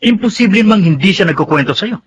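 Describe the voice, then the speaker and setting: A Filipino actor playing an indignant, accusing younger brother, stern and emphatic, in a radio drama. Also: male voice, high voice, lively intonation, fast talker, highs cut off, slight background noise.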